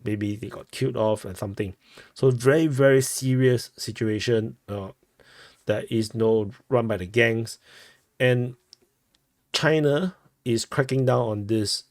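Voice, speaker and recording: A man with a Singaporean accent giving informal news commentary as a host, halting and often rephrasing himself. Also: medium pitch, darkish tone, no room sound. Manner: halting, repeats and rephrases phrases.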